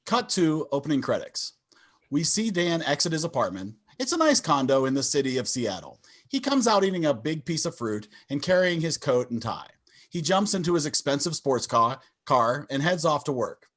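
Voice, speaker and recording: A man's voice: fairly high pitch, very animated, moderate pace, fairly bright tone. A man reading scripted stage directions as narrator of a table read over a video call.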